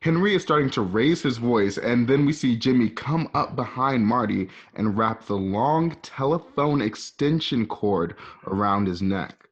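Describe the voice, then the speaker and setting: A man's voice: mid-range voice, lively intonation, a little slow, hardly any pauses. Male narrator reading scene directions, slightly muffled. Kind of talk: reading screen directions for a radio drama. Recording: dull lo-fi home recording.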